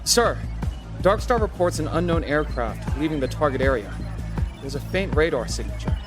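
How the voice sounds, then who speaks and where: A man playing a dutiful military radar officer in an audio drama, speaking in crisp radio procedure. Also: fairly high pitch, lively intonation, normal speed, close to an everyday voice. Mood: urgent, businesslike. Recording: loud music over the voice.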